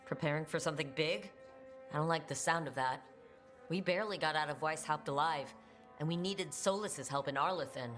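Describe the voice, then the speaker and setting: A young rebel adventurer in a fantasy game, earnest and plain-spoken with a wry edge. The sound is reverberant, with music behind. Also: female voice, sits low, brisk, fairly bright tone. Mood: wry, wary. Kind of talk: natural game dialogue. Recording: roomy reverb, music underneath.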